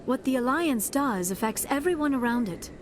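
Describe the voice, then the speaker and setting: A thoughtful female alien scholar in a science fiction game. Her voice sits a bit high, and her phrasing is polite, precise and reserved, with clear ambient noise around it. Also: animated, normal speed. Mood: politely disapproving. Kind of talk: video game dramatic dialogue.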